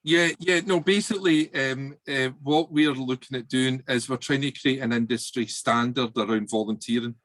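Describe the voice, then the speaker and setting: A man's voice: mid-range voice, fairly even intonation, moderate pace, hardly any pauses, fairly bright tone, dry recording. Chatty man on a video call. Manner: chatty, with frequent fillers. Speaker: volunteering speaker. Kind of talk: casual video-call discussion.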